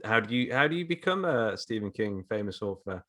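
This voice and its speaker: A man's voice: fairly low pitch, lively intonation, brisk, sharp tone. A man who co-leads a course, explaining casually and a little hesitantly on a podcast. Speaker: writing course co-leader.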